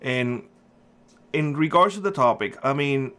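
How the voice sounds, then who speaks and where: A man's voice: mid-range voice, lively intonation, unhurried, pushed and bright. A middle-aged man from Cuba hosting a prepper channel, talking earnestly and emphatically in a casual monologue with frequent fillers. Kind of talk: casual opinion monologue to camera.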